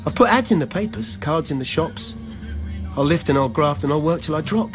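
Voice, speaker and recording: A man's voice: a little high, animated, a little fast, close to an everyday voice. An actor playing a weary, dogged labourer who sleeps in his van, in a rhythmic, confessional verse monologue for radio drama. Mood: weary, determined. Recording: music behind the voice.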